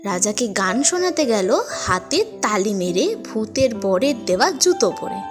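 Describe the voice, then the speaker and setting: A woman narrating a scripted story as host, in an expressive storytelling style. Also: medium pitch, measured pace. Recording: music under the voice. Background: background music.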